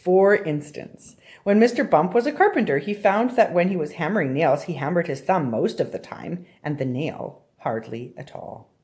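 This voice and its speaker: A woman's voice: low-pitched, animated, moderate pace, hardly any pauses, darkish tone. A woman reading a children's story aloud expressively, often turning to address young listeners directly with questions. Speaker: storybook reader.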